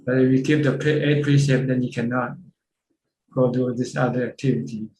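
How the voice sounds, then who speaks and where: A man's voice: mid-range voice, steady tone, a little fast, crowd noise. A man, a Buddhist monk with a Thai accent, patiently explaining Buddhist practice over a video call, often repeating words as he goes. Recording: echoey video call audio.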